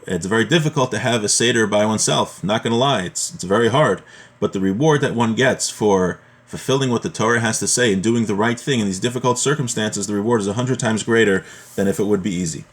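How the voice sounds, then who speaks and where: A man giving an informal religious lesson as a teacher, explaining in a conversational way. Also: on the low side. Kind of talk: informal religious lesson, reading Hebrew text then translating and explaining.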